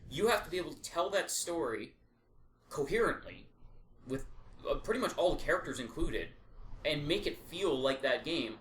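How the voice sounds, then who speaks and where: A man's voice: on the high side, animated, normal speed, fairly bright tone. A man co-hosting a casual podcast, explaining his opinions at length with the odd swear word. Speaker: podcast co-host. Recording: echoey room with faint background noise.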